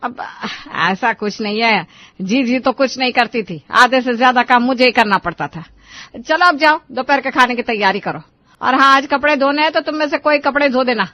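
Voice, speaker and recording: एक महिला कार्टून कहानी में टोकने और हुक्म चलाने वाली बुज़ुर्ग कंजूस सास का किरदार निभा रही है।. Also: female voice, high-pitched, normal speed, sharp tone, no echo. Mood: bossy. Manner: bossy, complaining. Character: nagging, demanding, stingy older mother-in-law. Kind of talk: Hindi animated family story acting.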